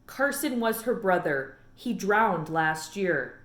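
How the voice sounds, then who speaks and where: Woman reading as a grave, measured preacher dad, roomy. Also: mid-range voice, lively intonation, fairly bright tone. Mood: solemn. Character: reserved, grave preacher father, gently stern. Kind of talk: storybook read-aloud character dialogue.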